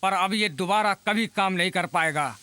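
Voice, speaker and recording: A man's voice: high-pitched, normal speed, bright, forceful tone, smooth, no room sound. An actor voicing an obliging, self-assured male cartoon sidekick. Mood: matter-of-fact. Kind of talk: Hindi cartoon dub acting.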